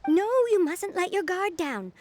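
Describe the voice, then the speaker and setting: A woman's voice: very high-pitched, sing-song, unhurried, darkish tone, exaggerated voice. A woman playing a dramatic, superstitious fishing official who talks like an earnest, theatrical storyteller in a video game. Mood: ominous storytelling.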